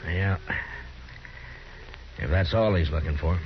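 An actor playing a wary, steady, laconic frontier lawman in a 1950s radio western. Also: low-pitched, takes it slowly, slight background noise. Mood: guarded, calm. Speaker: lead actor and first-person narrator. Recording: muffled 1950s radio recording with music.

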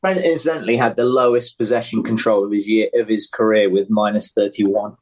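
A man with a fairly low voice talks as a sports analyst on a podcast. His delivery is conversational, working through tactics and numbers in a casual way. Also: steady tone, fairly quick.

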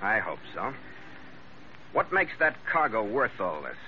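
A man's voice: lowish voice, huge pitch swings, unhurried. An actor playing a tough, hard-boiled American sea captain who narrates his own radio adventure in clipped, wry words. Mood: menacing. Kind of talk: 1940s-50s radio adventure acting. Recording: muffled, very noisy vintage radio recording.

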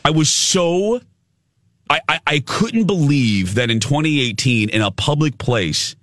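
A male radio host telling a story in casual chat, with a loud, big voice and a habit of cussing. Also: fairly high pitch, animated, measured pace, no echo. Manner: swears casually.

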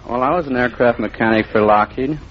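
A man's voice: mid-range voice, fairly quick, highs cut off. An American man in his thirties answering as a quiz contestant, terse and matter-of-fact, on an old radio recording. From Illinois, United States. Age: 30s. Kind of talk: answering interview questions.

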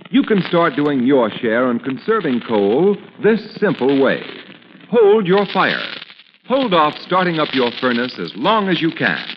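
A male announcer delivers scripted copy in emphatic 1940s radio style. His pitch moves up and down with great animation. The vintage recording is muffled and noisy. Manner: emphatic, declamatory.

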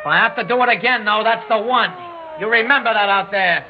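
A jittery young gunman from an old radio drama, pitched on the high side. He threatens in rough, slangy street talk. The recording is dull and muffled, like a vintage broadcast. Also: fairly quick, a little hiss or hum. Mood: defiant. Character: jittery young male armed robber holding hostages, threatening. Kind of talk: classic radio drama acting.

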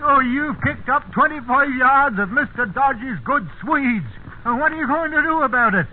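An actor playing a stubborn, grumbling old farmhand with a rural English accent in a 1950s radio drama. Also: male voice, very high voice, lively intonation, moderate pace. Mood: outraged shouting. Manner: grumbling, indignant, folksy. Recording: noisy, tinny 1950s radio broadcast.

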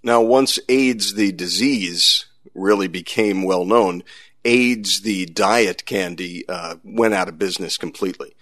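A man's voice: low-pitched, measured pace, a bit bright, no echo. An American man hosting a science podcast in a conversational, wry way with dry humor. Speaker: podcast host.

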